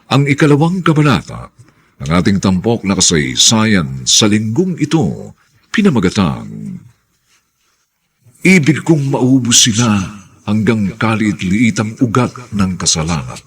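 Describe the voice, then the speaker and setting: A Filipino man announcing a radio drama in formal, theatrical scripted narration with drawn-out flourishes. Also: lowish voice, breathy edge.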